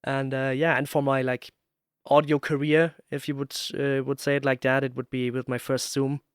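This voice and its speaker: Man, podcast host, average pitch, casual conversation, searching phrasing, very dry sound. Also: level tone, normal speed, clean tone, no echo. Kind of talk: casual interview chat.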